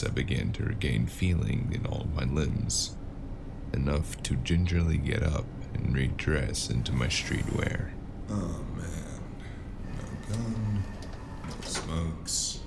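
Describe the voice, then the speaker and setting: A man playing a very deep gravelly noir investigator. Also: heavy background noise, full character voice. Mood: dismayed. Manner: dry, sardonic, unhurried. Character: weary wisecracking noir investigator, a mysterious male stranger. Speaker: narrator and lead character. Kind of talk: hardboiled noir audio drama narration.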